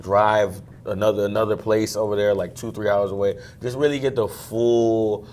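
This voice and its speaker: Young man, low voice, easygoing talk, faint rumble behind. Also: moderate pace. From Maryland, USA. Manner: relaxed, laughs easily. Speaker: guest singer. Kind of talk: casual interview talk.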